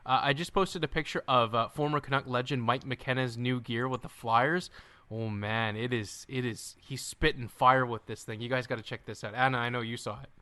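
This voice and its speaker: A man's voice: mid-range voice, steady tone, normal speed, pushed and bright, clear, resonant tone. A man co-hosting a casual podcast chat over a call, bantering and boasting in a jokey way. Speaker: podcast co-host. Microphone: remote call mic.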